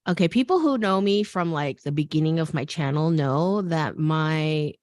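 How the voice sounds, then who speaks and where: A woman's voice: sits low, lively intonation, moderate pace, darkish tone, no room sound. A woman with an American accent whose first language is Korean, hosting a casual fashion interview and slipping into teacherly asides. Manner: chatty, laughing, teacherly asides. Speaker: host and fashion design teacher. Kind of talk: casual video call interview.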